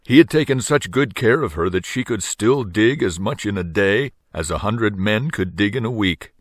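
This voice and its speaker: An older man reads a children's storybook aloud in a deep voice. The recording is dry, with hardly any room around the voice. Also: animated, moderate pace, no room sound. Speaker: storybook reader.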